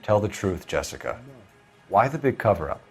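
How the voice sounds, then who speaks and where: An actor playing an earnest male police detective who questions people in a firm, measured way. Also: on the low side, sing-song, a little mellow, soft background music. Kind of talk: video game voice acting.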